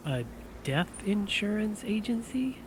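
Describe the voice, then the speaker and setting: Man playing a higher-voiced, puzzled client. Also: sing-song, slow pace, background noise, heavily acted. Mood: wary. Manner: hesitant, questioning. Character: puzzled, wary married man, prospective insurance client. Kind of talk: audio drama dialogue.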